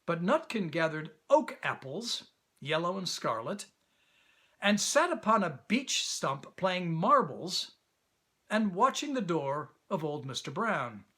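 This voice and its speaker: Guy reading a kids story out loud, really animated, takes his time, deliberate storyteller. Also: high-pitched, breathy edge. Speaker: actor reading a children's story. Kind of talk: scripted storybook narration.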